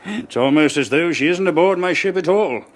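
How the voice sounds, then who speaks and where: An actor playing a polite, measured ship's captain in a radio drama. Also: male voice, on the high side, animated, a little hiss or hum, lightly acted. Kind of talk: 1980s radio drama acting.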